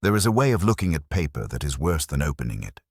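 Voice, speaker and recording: A man reading a scripted mystery story in a measured, literary and dryly wry manner. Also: extremely low pitch, sing-song, vocal fry, husky, dry recording, clean studio sound. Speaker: narrator. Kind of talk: scripted audiobook-style narration of a mystery story.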